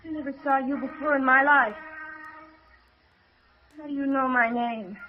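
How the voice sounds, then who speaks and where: A woman acting a desperate, distrustful young woman in an old radio drama. Her voice is high, tense and defiant, full of emotion. It comes through a muffled vintage recording. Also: fairly even intonation, moderate pace, slight background noise, lightly acted. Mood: suspicious. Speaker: radio actor.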